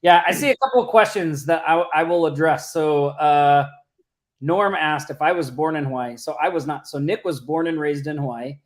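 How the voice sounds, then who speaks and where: A man's voice: fairly high pitch, normal speed. A man from Oklahoma co-hosting a casual livestream chat, talking loosely with many fillers and restarts. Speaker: co-host. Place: home or office in Las Vegas.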